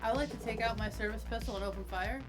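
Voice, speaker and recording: A woman's voice: a little high, very fast. A woman talking casually as a player in a tabletop roleplaying game. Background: music.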